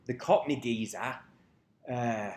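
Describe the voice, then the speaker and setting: A man's voice: medium pitch, slow pace, darkish tone. Rambling man in a roomy space. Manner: rambling, swears freely, loses track of names. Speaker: vlogger. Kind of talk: casual rambling chat to camera. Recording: echoey room.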